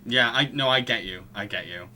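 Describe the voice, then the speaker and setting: Bright-voiced man discussing films casually. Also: medium pitch, brisk. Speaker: podcast host.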